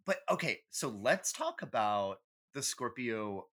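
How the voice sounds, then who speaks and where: This is a podcast co-host with a very lively, swooping delivery and an unhurried pace. The talk is casual, emphatic and opinionated, with blunt swearing in the manner of a comic rant. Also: medium pitch, breathy edge.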